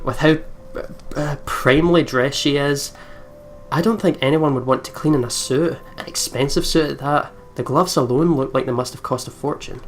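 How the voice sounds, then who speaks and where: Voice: medium pitch, a bit bright, a little hiss or hum. A gamer doing casual let's play commentary, swearing and stumbling while reading visual novel narration aloud.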